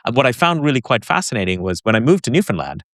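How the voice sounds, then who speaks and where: A man with a British accent who grew up in Hong Kong, speaking thoughtfully in a casual conversation, halting at times and animated at others. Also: mid-range voice, dry recording, clean studio sound. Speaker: podcast co-host.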